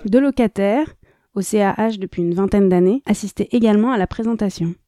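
Mellow, dry-sounding news narrator. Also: female voice, mid-range voice, a little fast, no room sound. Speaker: reporter.

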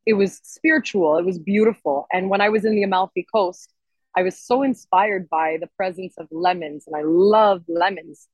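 A woman's voice: fairly low pitch, normal speed, breathy edge, no room sound, muffled recording. A woman singer-songwriter chatting casually and enthusiastically as an interview guest. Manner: enthusiastic, expressive.

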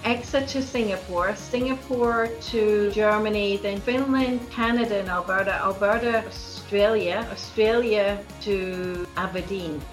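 A woman's voice: mid-range voice, measured pace, hardly any pauses, music in the background, rough recording. A woman with a mixed international accent from Scottish and Norwegian roots, chatting as a guest scientist in a podcast interview, laughing often and using many fillers. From Norway.

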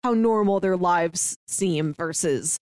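A woman's voice: fairly low pitch, takes it slowly, no echo, studio quality. A woman co-hosting a podcast, retelling film scenes in casual chat full of fillers. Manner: lively retelling with frequent fillers. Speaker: podcast co-host.

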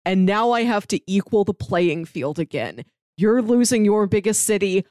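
A woman's voice: medium pitch, animated, normal speed, darkish tone, dry recording, clean studio sound. An American woman podcast co-host retelling stories in casual, rambling and emphatic conversation. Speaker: podcast co-host discussing comics and books.

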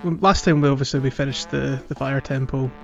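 A man's voice: mid-range voice, steady tone, brisk, gravelly, muffled recording, soft background music. A man hosting a casual gaming podcast, chatting in a jokey way and prone to chuckling. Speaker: podcast host.

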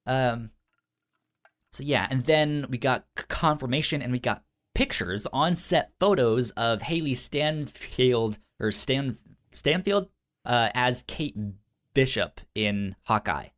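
A man co-hosting a casual pop-culture news chat, stuttering on sounds and syllables, with halting, informal phrasing. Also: medium pitch, lively intonation, a bit bright. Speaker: podcast co-host.

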